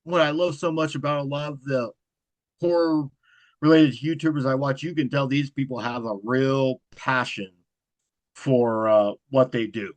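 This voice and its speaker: Even-toned man chatting as a guest. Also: medium pitch, moderate pace, no room sound. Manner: self-described monotone, even delivery. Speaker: guest, horror content creator. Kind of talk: casual interview chat.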